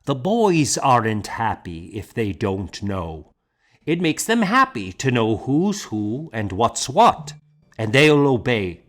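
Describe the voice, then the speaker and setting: A man's voice: medium pitch, sing-song, slow pace. A man reading an audiobook as a hearty, bluff monk schoolmaster, confident, mocking and blunt. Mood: insistent, assured. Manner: blunt, emphatic, self-assured. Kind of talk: audiobook character dialogue.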